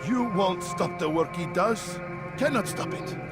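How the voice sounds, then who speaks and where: Loyal henchman, raised male register, insistent and defiant, game cutscene with loud music under. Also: high-pitched, animated, unhurried. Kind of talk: video game cutscene acting. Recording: loud music bed behind the voice.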